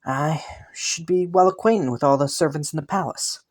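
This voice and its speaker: A woman voicing a kind, playful young prince in an intimate roleplay drama, speaking politely with hesitant rambles and gentle teasing. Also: low voice, normal speed. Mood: amused, teasing. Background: faint music.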